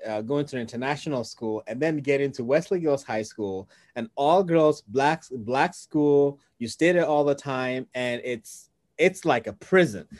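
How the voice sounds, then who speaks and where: A man's voice: mid-range voice, darkish tone, no echo. A man interviewing a guest, steering her with leading questions and laughing asides. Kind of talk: conversational interview.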